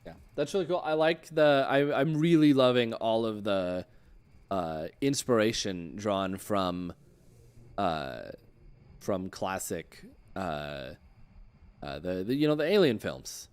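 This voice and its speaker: A man's voice: fairly low pitch, expressive, takes it slowly, smooth. A man hosting a casual livestream interview, informal and encouraging as he puts questions to a guest. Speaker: host interviewer.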